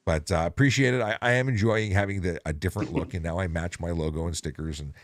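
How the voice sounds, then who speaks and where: A middle-aged man hosting a casual traffic-policing livestream, talking in halting bursts with frequent restarts. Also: low-pitched, dry recording. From Ontario.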